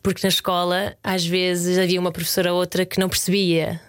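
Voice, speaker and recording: A woman's voice: fairly low pitch, level tone, brisk. A young woman speaking with a European Portuguese accent, a guest chatting enthusiastically and laughing often in a podcast interview. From Portugal and Brazil. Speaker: guest, actress. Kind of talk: casual interview chat. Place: indoor room, studio.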